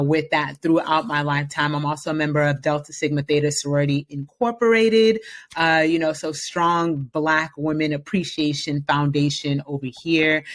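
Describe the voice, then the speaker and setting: Confident upbeat woman guest. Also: low-pitched. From San Francisco Bay Area, US. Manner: upbeat, confident, frequent fillers and laughs. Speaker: podcast guest. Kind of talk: casual interview conversation.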